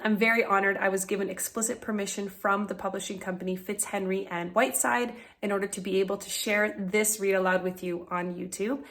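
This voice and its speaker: Woman, teacher, medium pitch, expressive children's storytime reading.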